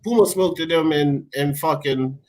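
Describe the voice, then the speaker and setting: A man's voice: a little high, normal speed, darkish tone. An American man hosting a casual sports talk show, blunt and slangy, swearing freely and emphatically. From United States.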